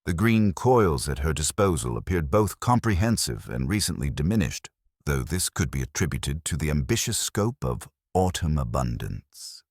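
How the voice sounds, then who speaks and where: A man narrates a mystery story in an extremely deep, husky voice recorded dry and close. His delivery is measured and wry, like a literary audiobook, with plenty of melodic movement. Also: sing-song, no echo.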